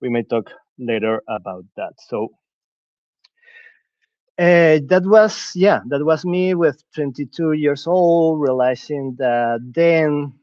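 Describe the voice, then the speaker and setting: A man's voice: a little high, expressive, slow, a little mellow, dry recording. Man talking earnestly, dry dull sound. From Venezuela. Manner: earnest, searching for words, frequent restarts. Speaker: podcast guest. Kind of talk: reflective conversational talk.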